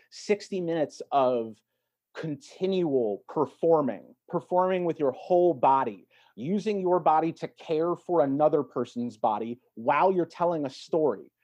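A man's voice: a little high, darkish tone. A man talking casually as a podcast guest, explaining things in a thoughtful, conversational way.

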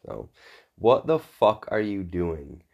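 Man, low pitch, congested, blunt and profane, casual podcast co-host. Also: big pitch swings, slow, vocal fry, a little mellow. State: congested with sore throat. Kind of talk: casual unscripted chat.

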